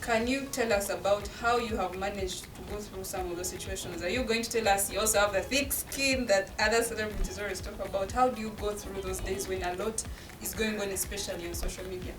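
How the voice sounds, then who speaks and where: A woman's voice: mid-range voice, fairly even intonation, fairly quick, hardly any pauses, background noise. A woman asking questions conversationally as the presenter of an interview. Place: studio. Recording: roomy echo, music behind.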